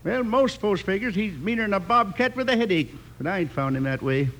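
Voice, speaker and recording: A worried old rancher in a radio western. His voice sits a little high, with folksy, plain Western American speech. There is some noise on the vintage recording. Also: lively intonation, brisk, a bit bright, low-quality recording. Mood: plain, firm. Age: older.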